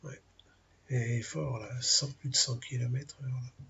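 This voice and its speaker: A man with a cold and a French accent giving an informal weather briefing, halting and full of fillers as he talks through maps. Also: mid-range voice, steady tone, sharp tone, muffled recording, a little hiss or hum. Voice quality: gravelly, husky. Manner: halting, many fillers, thinking aloud over weather maps. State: has a cold, clears throat. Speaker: amateur weather forecaster.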